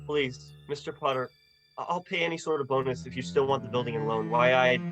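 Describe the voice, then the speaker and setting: A man's voice: mid-range voice, steady tone, fairly quick, dull audio, over music, close to an everyday voice. A man playing a short-tempered, desperate family man in a radio play, agitated and stammering. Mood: pleading, broken. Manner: agitated, stammering, repeating phrases. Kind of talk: radio drama acting.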